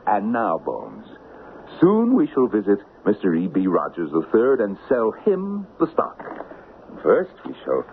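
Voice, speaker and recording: An actor playing the Devil as a mocking, smooth-talking gentleman schemer in a 1970s radio drama. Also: lowish voice, huge pitch swings, unhurried, full character voice. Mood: sly, persuasive. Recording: muffled, hissy old radio broadcast recording.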